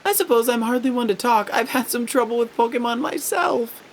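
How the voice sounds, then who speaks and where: A woman's voice: a little high, a little fast, slight background noise, lightly acted. A woman voicing a chatty, worried young villager in a video game, fretful and exclamatory, with music behind. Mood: curious, worried. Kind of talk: voiced video game dialogue.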